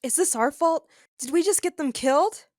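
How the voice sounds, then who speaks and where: A woman playing a bounty hunter's spirited, outspoken young girlfriend, expressive and emotional. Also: high voice, normal speed, dry recording, clean studio sound, lightly acted. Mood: alarmed, upset.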